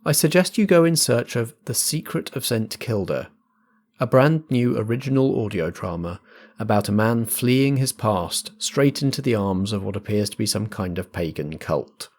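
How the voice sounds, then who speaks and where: A man's voice: lowish voice, expressive, moderate pace, darkish tone. A man hosting as compere and game master, making announcements and setting scenes with theatrical phrasing. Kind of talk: scripted announcements and scene narration.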